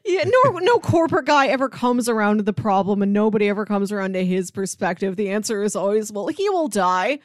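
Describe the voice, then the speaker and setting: A woman's voice: mid-range voice, expressive, fairly quick, few pauses, dry recording, studio quality. A woman in casual film discussion, musing aloud with wry, joking asides. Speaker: film podcast host.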